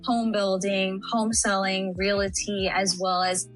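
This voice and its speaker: A woman's voice: mid-range voice, flat delivery, slow, soft background music. A young woman from San Antonio, Texas, chatting as a podcast guest. She is bubbly and laughs often. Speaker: interview guest. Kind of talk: casual podcast interview.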